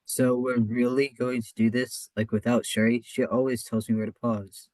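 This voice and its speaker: Naive, bewildered young male amateur, hesitant, middling pitch, radio comedy; male actor. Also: little pitch movement, normal speed, a little mellow, dry recording, natural voice, poor audio. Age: older. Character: confused, socially awkward young man, forgetful actor.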